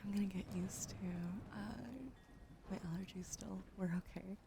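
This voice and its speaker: Whispering woman, coughy from allergies, gentle and apologetic, middling voice with clear background noise. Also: medium pitch, fairly even intonation, normal speed. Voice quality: soft whispering. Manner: apologetic, thanks followers by name. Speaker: ASMR streamer. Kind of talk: ASMR whisper stream. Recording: noisy background.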